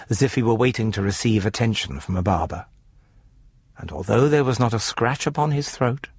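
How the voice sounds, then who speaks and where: A man's voice: fairly low pitch, darkish tone, husky, dry recording, studio quality. A man giving dramatic scripted narration for a horror radio story. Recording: music bed under the voice.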